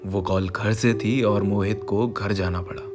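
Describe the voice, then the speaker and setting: A man's voice: lowish voice, steady tone, a little slow. An Indian man telling an emotional scripted story in an earnest, conversational way. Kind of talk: dramatic, emotional scripted narration of a sad story. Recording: voice over background music. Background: music.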